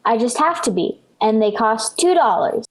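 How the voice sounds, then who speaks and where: A woman's voice: a little high, big pitch swings, moderate pace. A female performer playing a dramatic, pleading, bratty little girl in a 1940s radio sitcom. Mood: desperate pleading. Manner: melodramatic, insistent, plaintive. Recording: vintage 1940s radio broadcast.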